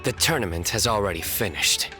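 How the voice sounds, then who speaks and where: A stern young lawman in an anime game. The voice is low and firm with a forceful edge, solemn and deadpan even when joking. Faint music sits behind it. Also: a little fast. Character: stern young male law enforcer, solemn, earnest deadpan joker. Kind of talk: English anime game dub.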